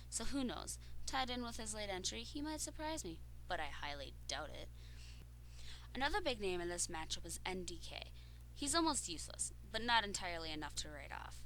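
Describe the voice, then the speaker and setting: A woman's voice: fairly low pitch, bright, forceful tone, slight background noise, rough recording. Give me a scornful, brash woman trash-talking rivals. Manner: scornful and brash, swears freely. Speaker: role-player giving an in-character wrestling promo. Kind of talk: audio role-play monologue, trash talk.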